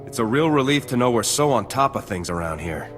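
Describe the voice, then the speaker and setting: An actor playing a ruthless, sardonic sergeant in a video game, curt and threatening. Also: male voice, medium pitch, animated, fairly quick, a bit bright, faint music behind, natural voice. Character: menacing, ruthless male soldier, a sergeant in a space army. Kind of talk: video game cutscene acting.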